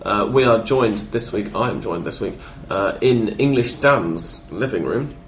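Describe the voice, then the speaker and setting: Man, podcast host, low voice, blocked-up nose from a cold, echoey living room. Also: lively intonation, measured pace, a bit bright, a little hiss or hum. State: sore throat and cold, bunged up. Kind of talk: casual football podcast chat.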